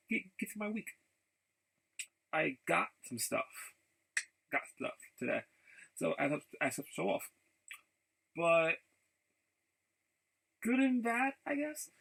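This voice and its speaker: A man chatting casually in a rambling vlog, haltingly, often repeating and restarting his phrases. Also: mid-range voice, vocal fry, fairly bright tone, breathy edge, poor audio. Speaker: vlogger.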